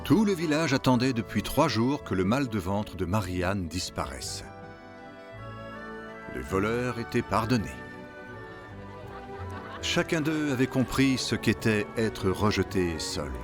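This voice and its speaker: A man narrating an animated children's film in a scripted storybook style. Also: on the low side, a little slow, pauses often, music in the background.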